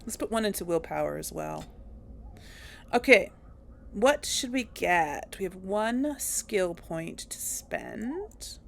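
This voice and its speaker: A woman's voice: medium pitch, big pitch swings, slow, vocal fry, a little hiss or hum. A woman hosting a casual game playthrough, talking in a rambling, hesitant way with many fillers and restarts. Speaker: let's play host. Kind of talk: casual gameplay commentary.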